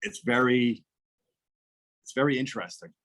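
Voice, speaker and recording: A man's voice: mid-range voice, moderate pace, a bit bright. A man with a South Shore Massachusetts accent, telling stories as a podcast guest and acting out the voices of people he quotes. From southern Massachusetts, USA. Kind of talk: casual storytelling interview.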